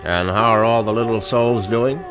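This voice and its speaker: An actor playing a scheming, sardonic husband in a radio mystery drama, speaking with false sweetness. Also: male voice, lowish voice, fairly even intonation, moderate pace, music in the background, natural voice. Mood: coaxing, aggrieved. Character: scheming, sardonic husband plotting to kill his rich wife. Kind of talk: radio mystery acting with first-person narration.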